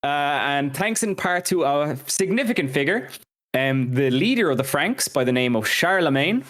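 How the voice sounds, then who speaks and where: Male podcast co-host, animated pitch, casual explainer delivery. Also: normal speed. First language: Irish and English speaker. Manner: animated, jokes about own mispronunciations. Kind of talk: casual scripted music-history chat.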